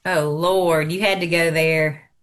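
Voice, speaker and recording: A woman's voice: deep voice, fairly even intonation, slow pace. Chatty woman guest who laughs easily. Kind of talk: casual radio show chat.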